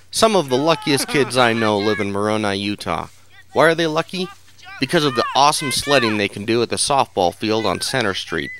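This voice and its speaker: A man's voice: lowish voice, fairly bright tone, a little hiss or hum, rough recording. A man reading scripted narration as a voice-over narrator and reporter.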